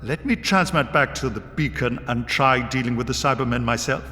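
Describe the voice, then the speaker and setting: A man voicing an eccentric, courteous British adventurer in an audiobook, breezy, wry and gently persuasive. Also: mid-range voice, normal speed, in a large hall, lightly acted. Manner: breezy, polite, wry British turns of phrase. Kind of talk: dramatised audiobook reading.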